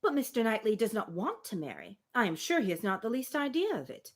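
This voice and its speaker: A woman's voice: on the high side, sing-song, fairly quick. A woman reading a spirited, opinionated young woman from a Regency novel, emphatic and formal. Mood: indignant.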